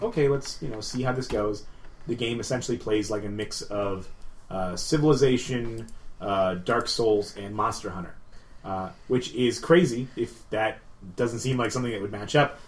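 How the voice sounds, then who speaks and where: A man's voice: fairly low pitch, normal speed, a little hiss or hum. A man co-hosting a casual podcast, explaining games in a rambling, enthusiastic way full of fillers. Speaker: podcast co-host.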